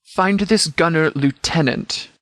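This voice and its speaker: An actor playing a commanding post-apocalyptic sheriff in a video game, speaking in a clipped, formal, authoritative way. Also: male voice, a little high, slow, a bit bright, no room sound, studio quality. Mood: urgent, commanding.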